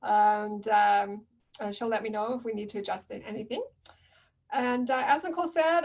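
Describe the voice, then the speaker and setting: Soft-spoken woman presenting a webinar. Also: a little high, recorded from a distance. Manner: soft-spoken, gentle and reflective.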